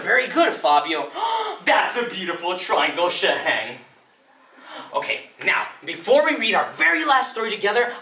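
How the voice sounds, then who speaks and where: A man's voice: high-pitched, pushed and bright, pitched above the performer's own voice, heavily acted. A man playing a flamboyant, chirpy children's librarian with a defiant streak, talking sing-song to kids in a theatrical stage monologue. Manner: sing-song, exaggeratedly cheery, addressing small children. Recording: distant mic, roomy echo, faint noise.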